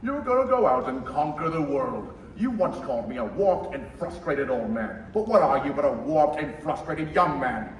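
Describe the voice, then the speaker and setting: A man's voice: on the high side, big pitch swings. Young man playing sneering old banker, echoey stage. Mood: contemptuous. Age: teenager or young adult. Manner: sneering, pompous, deliberate. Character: cruel, gloating old male banker. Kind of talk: stage radio play acting. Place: school theater stage. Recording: echoey stage, distant mic, faint noise.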